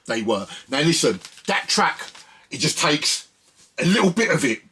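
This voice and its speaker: A man's voice: fairly high pitch, big pitch swings, normal speed, sharp tone, husky. A man presenting records in a casual, conversational music commentary, often repeating phrases for emphasis.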